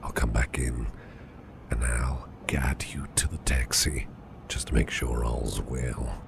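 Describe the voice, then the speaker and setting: A man playing a caring, protective businessman boss in an ASMR roleplay, speaking in a soothing, reassuring, breathy half-whisper. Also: extremely low pitch, takes it slowly, noisy background, full character voice. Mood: tender, reassuring. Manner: soothing, reassuring, affectionate, gently murmured. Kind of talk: soft ASMR roleplay, personal attention.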